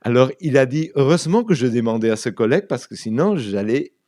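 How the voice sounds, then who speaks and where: A man's voice: medium pitch, lively intonation, brisk, dark tone, no echo, studio quality. A man from Argentina, a native Spanish speaker with an Argentine accent, chatting in an interview and often searching for words. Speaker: writer and translator, interview guest. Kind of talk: conversational interview, telling anecdotes.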